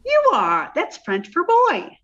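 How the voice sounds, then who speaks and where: Woman putting on a ditzy high-voiced girl, bouncy, radio comedy vibe. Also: wildly swooping pitch, takes it slowly, fairly bright tone, highs cut off, exaggerated voice. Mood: dizzy, cheerful. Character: scatterbrained young woman, sweetly dim. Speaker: amateur radio play actor. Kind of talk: reading a 1950s radio comedy script.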